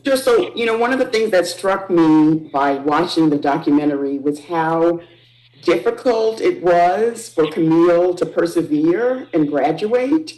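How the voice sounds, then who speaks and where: Slow-paced woman in an echoey room. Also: fairly low pitch, expressive, a little mellow. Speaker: author, panel guest. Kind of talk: measured panel discussion.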